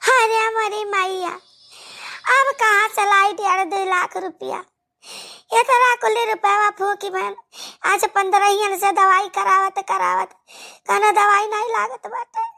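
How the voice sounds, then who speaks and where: A cartoon village woman, extremely high and piercingly bright. She wails and scolds in an Awadhi accent, loud and melodramatic, with a creaky edge. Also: fairly even intonation, takes it slowly, heavily acted. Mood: wailing. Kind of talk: exaggerated cartoon comedy dialogue.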